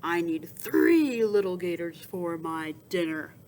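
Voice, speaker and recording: A woman voicing a big, hungry male boar villain from a storybook, raspy and snorting, chanting drawn-out threats. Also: lowish voice, lively intonation, a little mellow, slight background noise, pitched below the performer's own voice. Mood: hungry, menacing. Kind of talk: storybook read-aloud.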